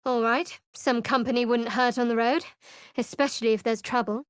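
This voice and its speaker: Actress doing a sardonic young dark cleric for a video game, highish voice, guarded and wry, super dry close recording. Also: female voice, steady tone, normal speed, smooth, dry recording, natural voice. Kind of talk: video game character voice lines. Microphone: close studio microphone.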